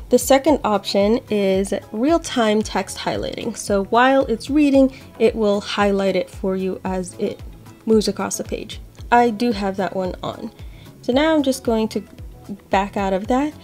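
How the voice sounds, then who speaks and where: A woman's voice: on the high side, a little mellow. A young adult woman hosting a tech tutorial, explaining steps casually. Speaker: host of accessibility tutorial videos. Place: small home office, indoors.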